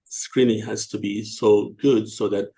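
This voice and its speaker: Gravelly male host. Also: fairly low pitch, fairly even intonation, mellow. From Turkey. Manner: hesitant, many fillers, halting. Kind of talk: interview questions.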